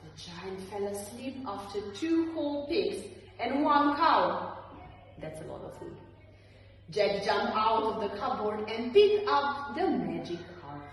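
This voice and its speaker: A woman reading a story to young children as an early years teacher, with animated, dramatic emphasis on key words. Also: mid-range voice. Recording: roomy, echoey, distant mic, faint noise.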